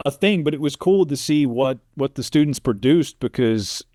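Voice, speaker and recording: Casting: middle-aged American male, medium-pitched, relaxed conversational interviewee, dry studio-like sound. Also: normal speed, no room sound. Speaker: interview guest, sports play-by-play announcer. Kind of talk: casual interview talk.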